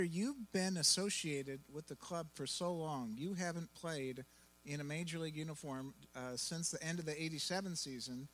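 Middle-aged man hosting a stage panel, slightly high voice, enthusiastic, handheld mic. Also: fairly bright tone. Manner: enthusiastic, playful. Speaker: panel moderator. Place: indoor event stage. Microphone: handheld microphone.

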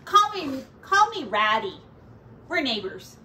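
A woman's voice: sits high, sing-song, slow pace, pushed and bright, voice pushed up, exaggerated voice. A woman voicing a hapless, chatty male pack rat from a children's picture book, in a folksy, exclamatory way. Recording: roomy, distant mic, faint noise.

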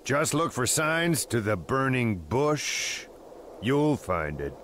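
An actor playing a gruff, urgent military commander in a video game cutscene, with an emphatic, commanding delivery. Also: male voice, medium pitch, sing-song, slow, a bit bright, a little hiss or hum. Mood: grim, briefing.

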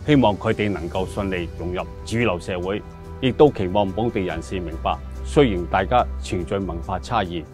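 A middle-aged official speaks in a very low voice with measured, formal phrasing. He is recorded indoors, with soft music faintly underneath. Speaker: service centre official. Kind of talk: formal prepared statement.

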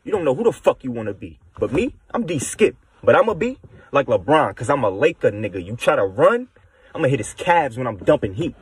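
Husky young American battle rapper, animated man. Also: mid-range voice. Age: young adult. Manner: aggressive, boastful, taunting delivery. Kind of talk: a cappella battle rap verses.

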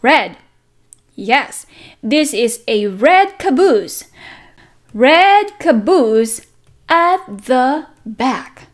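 A woman teacher reading a picture book aloud to toddlers in an animated, sing-song manner, with simple repeated words and questions. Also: sits high, extremely slow, pauses often. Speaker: children's teacher and read-aloud host. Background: background music.

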